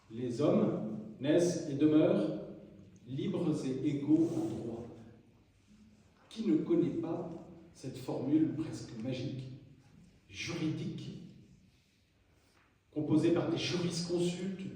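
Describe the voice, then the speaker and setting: A young man from France giving a public history lecture, measured and hesitant, with dry asides. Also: on the high side, warm tone. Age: young adult. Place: large lecture hall. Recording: echoey hall, distant mic, faint ambience.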